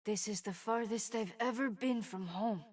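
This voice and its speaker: A woman voicing a cheerful, determined twelve-year-old boy fire mage in animated video game lines, earnest, eager and full of exclamations. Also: mid-range voice, moderate pace, close to an everyday voice.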